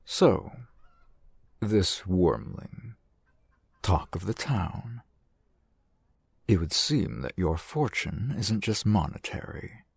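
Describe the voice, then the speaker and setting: A man playing a suave, shrewd fantasy shopkeeper in an audio roleplay, speaking in measured, formal, wry phrases with a gravelly, husky voice, close to the mic. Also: deep voice, sing-song, no room sound, exaggerated voice. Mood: sly, businesslike. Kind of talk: fantasy ASMR roleplay acting. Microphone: close microphone, dry sound.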